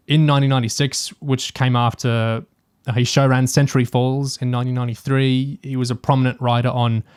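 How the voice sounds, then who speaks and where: A man's voice: mid-range voice, a little mellow, smooth. A man with a mid-range voice co-hosts a discussion podcast. He talks in a casual, chatty way, picking through details analytically with the keenness of a dedicated fan. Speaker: podcast co-host. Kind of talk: casual discussion of audio drama and TV.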